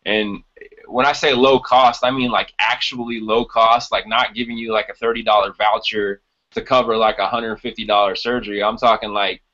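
A man's voice: on the low side, fairly even intonation, normal speed, pushed and bright. A man in his thirties co-hosting a casual podcast from home, explaining things informally over a video call. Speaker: podcast co-host. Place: small room at home. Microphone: video call on computer. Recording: dull, lo-fi video call audio.

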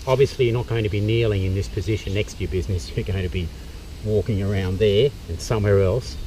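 A man's voice: on the low side, steady tone, fast, dark tone. A middle-aged man with an Australian accent, giving a practical outdoor demonstration as a bushcraft instructor, explaining step by step with some fillers. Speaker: bushcraft instructor and tour guide. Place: eucalyptus forest outdoors. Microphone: camera mic from a few metres away. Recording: distant outdoor camera audio, faint background noise.

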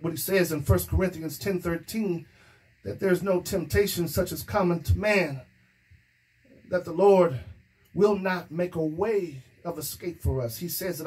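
A man's voice: high-pitched, breathy edge, poor audio. A middle-aged male pastor preaching a sermon into a stand microphone in a small room, building emphasis and dropping in "amen" as he goes. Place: small indoor room against a plain wall. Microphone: stand microphone in front of mouth.

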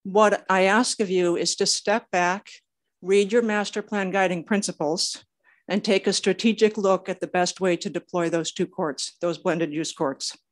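A woman's voice: on the low side, fairly even intonation, a little slow. An older woman reading a prepared statement at a public meeting, a little nervous. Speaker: public commenter. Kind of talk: prepared speech read aloud at a public meeting.

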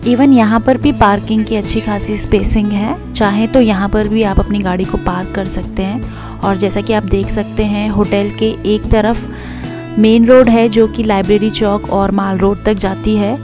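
A young woman gives a chatty hotel walkthrough, mixing in English phrases as she points things out. Her voice sits at an ordinary middle pitch. Clear guitar music runs underneath her. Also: fairly even intonation, measured pace. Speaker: travel vlogger. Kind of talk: hotel tour voice-over.

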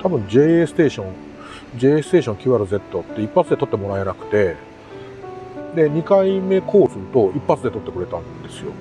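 A middle-aged man from Japan talking casually to camera as a hobbyist vlogger, in an easygoing, rambling, self-deprecating way. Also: mid-range voice. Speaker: amateur radio hobbyist vlogger. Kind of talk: casual vlog talk to camera.

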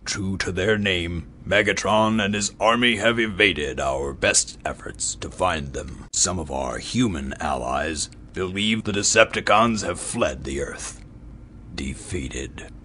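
An actor playing a noble giant robot leader in a video game, with a gravelly, husky voice and a solemn, authoritative, deliberate delivery. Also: male voice, low voice, pushed and bright, a little hiss or hum, heavily acted. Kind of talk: video game character acting.

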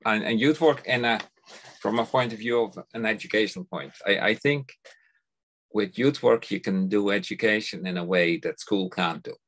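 A man's voice: lowish voice, a bit bright. A middle-aged man from the Netherlands with a Dutch accent, speaking thoughtfully as an interview guest over a video call from a home study. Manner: thoughtful, unhurried, repeats words as he starts sentences. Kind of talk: conversational interview. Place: home study lined with bookshelves.

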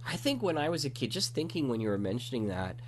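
A man is hosting an interview show in a conversational way while getting over a cold. His voice sits at a middle pitch. A faint hum runs in the background of the recording. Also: big pitch swings, quick pace. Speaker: radio drama podcast host interviewer.

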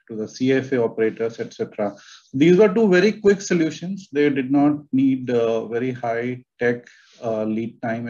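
A man with an Indian English accent speaks on a panel over a webcam, stopping and restarting his sentences with frequent fillers. His pitch sits in the middle for a man. The call audio is slightly dull and muffled. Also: a little mellow. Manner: halting, repeats words, many "uh" fillers. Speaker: panelist, company IT head. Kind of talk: video-call panel discussion. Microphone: webcam on a video call.

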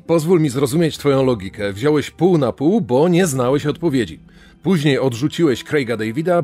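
A man playing a measured, wryly puzzled quiz show host in a true-crime podcast reenactment. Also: medium pitch, darkish tone, close to an everyday voice. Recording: music under the voice.

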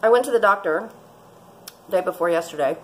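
A woman's voice: fairly low pitch, animated, brisk, poor audio. A woman, chatting casually and rambling through a cooking vlog in her home kitchen, recorded by a camera close by. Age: 40s. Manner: chatty, rambling, laughs often. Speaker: vlogger. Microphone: fixed camera at close range.

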